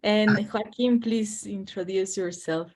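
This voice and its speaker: A woman's voice: mid-range voice, steady tone, takes it slowly, a little mellow, soft background music. A woman from Mexico City, informally moderating an author panel.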